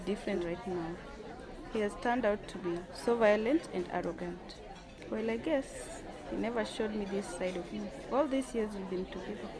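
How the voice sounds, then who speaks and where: A woman's voice: medium pitch, natural voice, low-quality recording. A woman playing a proud, weary company boss in an amateur radio drama.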